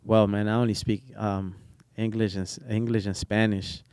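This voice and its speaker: Low, smooth-voiced young Brazilian barber. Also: male voice, normal speed, no room sound, clean studio sound. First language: Portuguese. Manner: relaxed, rambling with many fillers. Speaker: barber guest. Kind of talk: casual interview chat.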